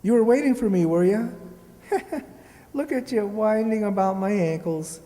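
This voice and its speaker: A humble, earnest servant boy, played by an actor in a radio play for children. The voice is mellow and affectionate, ringing in a reverberant hall. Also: mid-range voice, measured pace, lightly acted. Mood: fond, chatty.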